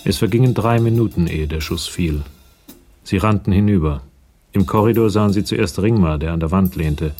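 Male crime-story narrator, husky and deep, reading scripted prose, faint music behind. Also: moderate pace, warm tone. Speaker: narrator of a radio play.

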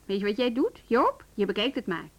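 A brisk woman in charge with a fairly high voice. She is direct, assertive and blunt, with little patience, in the manner of a radio drama. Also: sing-song. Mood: irritated, firm. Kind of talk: Dutch radio play acting.

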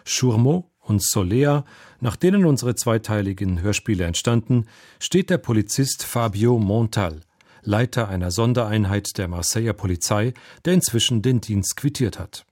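A man's voice: on the low side, lively intonation, normal speed, darkish tone, no echo, clean studio sound. A man reading a scripted story summary as a radio drama announcer.

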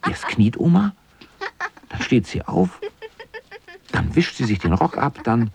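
A man with a husky voice narrating a scripted children's story as the narrator of a radio drama. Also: medium pitch, very animated, a little slow.

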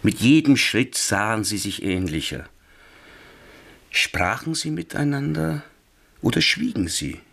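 A man narrating a radio play, with measured, dramatic literary storytelling. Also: lowish voice, very animated, vocal fry, a bit bright, breathy edge, full character voice.

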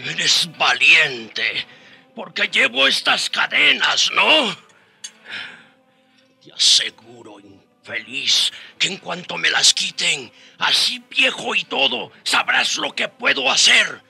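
Gravelly, defiant old captive man. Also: high-pitched, very animated, sharp tone, soft background music, exaggerated voice. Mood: defiant, angry. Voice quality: gravelly, raspy. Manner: slow, emphatic, defiant. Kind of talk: radio drama acting.

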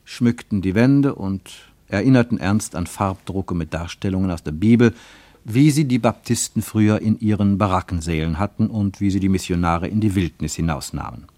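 A man as the narrator of a radio play travelogue, reading literary prose in a measured, reporting manner. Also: sits low, warm tone, no echo, natural voice. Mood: measured, observant.

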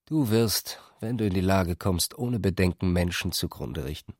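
A man's voice: low voice, lively intonation, normal speed, a little mellow, no room sound, studio quality. An actor playing a bitter, reproachful man who accuses his rival emphatically in a radio drama. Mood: accusing.

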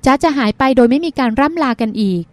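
A woman's voice: sits high, fast, no echo, lightly acted. A woman reading the part of a stubborn, teasing young woman, a petulant younger sister, in an audiobook. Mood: cool, stubborn.

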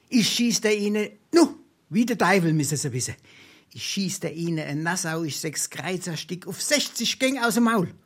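Boastful Hessian scrounger, wildly animated. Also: male voice, sits high. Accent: Hessian, Darmstadt. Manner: theatrical, braggartly, hesitating for effect. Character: boastful, wily penniless male scrounger spinning tall tales. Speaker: stage actor. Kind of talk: dialect comedy theatre.

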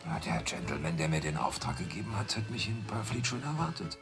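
Working-class carter, deep, animated, rambling, colloquial, chuckling, radio drama, clear music behind. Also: male voice, fairly quick. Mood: chuckling, reminiscing. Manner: rambling, colloquial, deferential with "Sir". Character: rough thirsty working-class London carter, chuckling, sly. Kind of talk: German radio drama acting.

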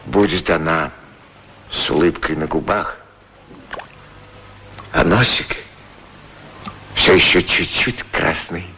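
A man's voice: deep voice, fairly even intonation, slow, long pauses. An actor playing a lonely middle-aged shoeshine man in a radio play, grumbling yet wistful and proudly polite. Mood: dreamy. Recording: noisy 1960s radio recording.

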